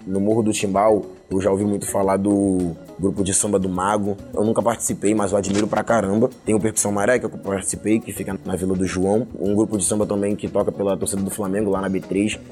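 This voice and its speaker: A man's voice: fairly low pitch, level tone, fast, few pauses, dark tone, soft background music. A young man with a Carioca Brazilian accent, telling personal stories casually and colloquially in an interview. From Rio de Janeiro, of Angolan family. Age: young adult. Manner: colloquial, slangy. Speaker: hip hop musician interviewee.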